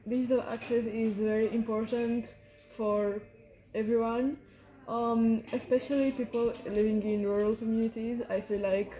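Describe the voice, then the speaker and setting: Mellow-voiced Romanian woman, chatty. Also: a little high, little pitch movement, poor audio. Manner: quick bursts with many fillers. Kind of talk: interview answers.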